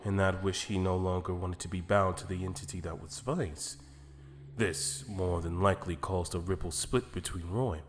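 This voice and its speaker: A man playing a calm, calculating celestial attendant who speaks in a measured, formal, deliberate way in an anime fan drama. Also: sits low, big-room sound, slight background noise, lightly acted. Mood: analytical.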